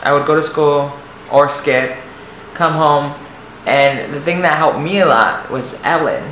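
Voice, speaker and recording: Young man, light soft voice, halting and rambling confessional delivery, recorded in a roomy space with faint background hiss. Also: a little high. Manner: hesitant, rambling, many restarts and fillers. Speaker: vlogger. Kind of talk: personal storytelling to camera. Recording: echoey room, faint noise.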